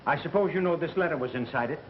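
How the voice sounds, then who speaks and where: An actor playing a blustering, accusatory, easily flustered company boss in a 1930s mystery film, peremptory and excitable. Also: male voice, on the high side, fast, natural voice. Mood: accusing. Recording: muffled, noisy 1930s film soundtrack.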